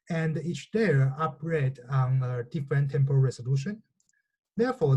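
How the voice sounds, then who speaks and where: A man's voice: mid-range voice, mellow, slightly rough, rough recording. A man who is a native Chinese speaker narrates a scripted technical lecture in a methodical, formal manner, occasionally stumbling over words. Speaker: researcher presenting a technical lecture.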